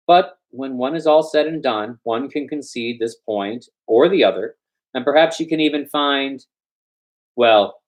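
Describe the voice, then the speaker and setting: A man reading a story aloud as host, with a theatrical, playful storytelling delivery. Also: mid-range voice. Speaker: host and reader of a storytime show. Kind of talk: dramatic storytelling read-aloud.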